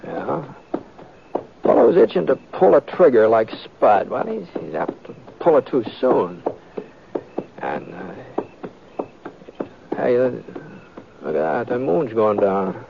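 An actor playing an easygoing, seasoned western lawman and tracker in an old radio drama, with a hesitant, folksy, unhurried way of talking. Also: mid-range voice, sing-song, creaky, full character voice. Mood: calm, weary. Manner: hesitant, folksy drawling delivery with murmured asides. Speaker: lead actor and narrator of the story. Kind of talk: 1940s-50s radio western acting and narration. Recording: noisy, muffled old radio recording with clicks.

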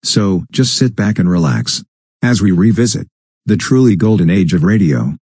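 A man's voice: low voice, moderate pace, warm tone, breathy edge, no echo, studio quality. A man giving a scripted introduction as host of an old-time radio program.

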